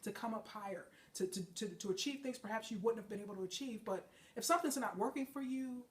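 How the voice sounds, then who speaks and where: Fast, emphatic woman fitness coach. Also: on the high side, recorded from a distance. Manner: emphatic, preachy, keeps checking in with "okay?" and "folks". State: congested from a chest and sinus infection. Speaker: fitness trainer and motivational speaker. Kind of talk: motivational talk to camera.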